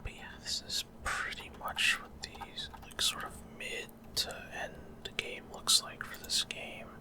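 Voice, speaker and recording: A man doing ASMR gameplay commentary in a rambling, hesitant way, often trailing off mid-thought. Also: unhurried. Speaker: gaming ASMR creator.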